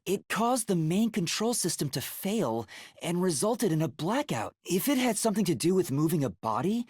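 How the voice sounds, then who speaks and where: A man's voice: high voice, husky, no echo, studio quality, heavily acted. A man voicing an earnest, anxious young male trainee detective in an English video game, hesitant and thinking aloud.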